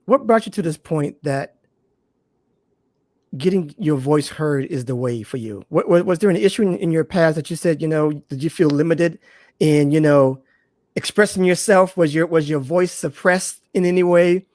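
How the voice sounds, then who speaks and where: A man's voice: fairly high pitch, moderate pace, a little mellow, no room sound, clean studio sound. A man hosting a casual interview, encouraging his guest and often repeating phrases as he feels out each question. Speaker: interview host.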